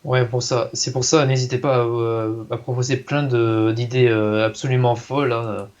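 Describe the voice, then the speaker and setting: A man's voice: medium pitch, steady tone, moderate pace, darkish tone. A young man co-hosting a live stream in casual conversation. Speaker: streamer, co-host. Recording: slightly muffled, dull microphone.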